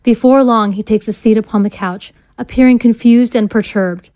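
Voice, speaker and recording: A woman's voice: on the high side, normal speed, a little mellow, no room sound. A woman narrating scene directions from a script in a screenplay-style audio drama.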